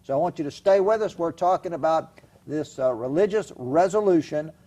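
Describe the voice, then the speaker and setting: A man's voice: on the high side, animated, moderate pace, dark tone. A man hosting a television talk show, introducing and interviewing a guest in a chatty, emphatic way. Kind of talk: studio interview. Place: television studio.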